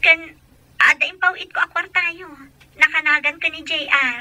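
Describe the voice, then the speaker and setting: Earnest Ilocano family woman, very high pitch, bright voice, gentle, radio drama. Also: steady tone, moderate pace, no echo. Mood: apologetic, reassuring.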